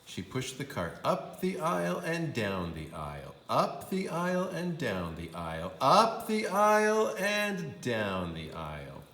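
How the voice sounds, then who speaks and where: Male kindergarten teacher for picture-book narration: unhurried, emphatic, animated, roomy sound. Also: medium pitch. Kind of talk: expressive storybook read-aloud for young children.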